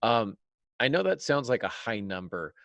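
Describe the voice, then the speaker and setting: A man's voice: mid-range voice, big pitch swings, moderate pace, a bit bright, dry recording. A man talking conversationally as a podcast host about his craft, emphatic and wry, often stopping to correct himself. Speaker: podcast host, writer and writing teacher.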